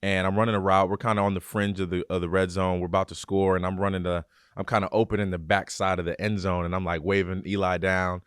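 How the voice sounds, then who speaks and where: A man's voice: low voice, level tone, quick pace, hardly any pauses, a bit bright, clear, resonant tone, no room sound, studio quality. A man in his thirties hosting a podcast interview, upbeat and friendly with slangy reactions. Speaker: podcast host, former football player. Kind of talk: casual interview chat. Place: dark studio. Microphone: studio mic on boom arm.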